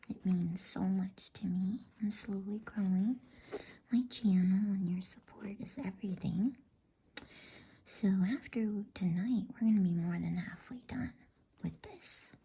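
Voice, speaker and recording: Slow, whispering woman reading bedtime stories. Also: medium pitch. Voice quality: breathy, soft whisper. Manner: gentle, unhurried, hushed. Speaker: host and reader of a bedtime story channel.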